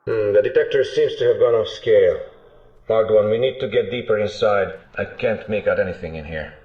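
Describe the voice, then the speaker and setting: A man playing a nervy, gruff field researcher in a video game, with tense, animated delivery. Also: lowish voice, fairly quick, dull audio, lightly acted. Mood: tense, focused. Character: male field researcher, nervy, wary, gruff expedition companion. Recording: roomy, noisy, distant game-scene sound.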